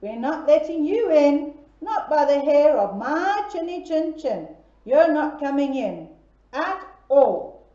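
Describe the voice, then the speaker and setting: Woman as the third little pig: male, self-assured, cheery, bright storybook voice, roomy lo-fi. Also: high-pitched, very animated, slow pace, exaggerated voice. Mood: defiant. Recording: echoey room, dull lo-fi sound.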